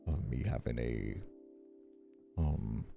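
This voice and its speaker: A man's voice: extremely low pitch, takes it slowly, gravelly, music in the background. A man chatting casually as a streamer, hesitant and often repeating his words as he goes. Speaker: streamer, host. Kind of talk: casual live stream chat.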